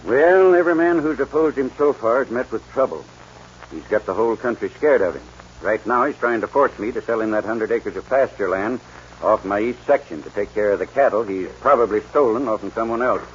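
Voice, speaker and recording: A man's voice: medium pitch, expressive, brisk, close to an everyday voice. An actor playing a proud, stubborn aging rancher father in a 1940s radio western, with a folksy American drawl and a stammer when moved. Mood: earnest. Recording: noisy muffled 1940s radio recording.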